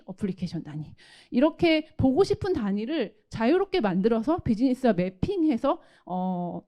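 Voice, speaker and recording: A woman's voice: a little high, dark tone. A woman giving a technical product presentation in a polite, formal, explanatory manner. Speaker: company solutions presenter.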